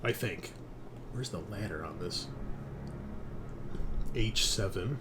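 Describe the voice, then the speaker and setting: Male game master: lowish voice, informal improvised narration, uneven projection, slightly noisy room. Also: slow. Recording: faint room noise.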